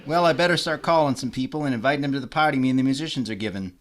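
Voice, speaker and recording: A man's voice: medium pitch, racing, close to an everyday voice. A man playing a cocky bandleader at the pool table in a 1950s-style radio comedy, breezy and offhand. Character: cocky middle-aged bandleader shooting pool. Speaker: radio play actor. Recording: loud hiss and noise.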